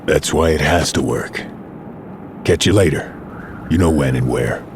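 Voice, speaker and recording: A man acts out a hardened, weary resistance fighter in an audio drama. The voice is low and gruff, the talk blunt and tough, set against clearly audible background noise. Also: measured pace. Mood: grim, blunt. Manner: blunt, terse, tough-talking.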